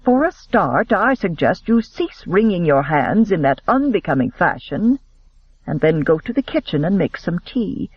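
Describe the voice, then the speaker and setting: A gruff older spinster chaperone, dry and commanding, voiced by a male narrator. She speaks slowly and deliberately, close-miked in a dead, slightly muffled sound. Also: high-pitched, big pitch swings, dry recording, full character voice. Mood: stern.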